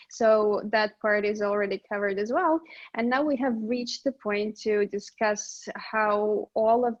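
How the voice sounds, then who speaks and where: A woman opens an online workshop with a slow, hesitant introduction, pausing on many filler sounds. Her voice sits a touch high. The call audio is dull and dry. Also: no room sound. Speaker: workshop host. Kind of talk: introducing a speaker. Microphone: video call.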